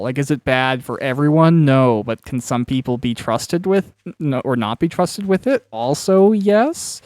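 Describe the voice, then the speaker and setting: A man's voice: mid-range voice, darkish tone, no echo. A man conducting a conversational interview as host, reflective and rambling in how he frames his questions. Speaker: interviewer and writer of an audio series. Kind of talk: conversational interview while playing a game.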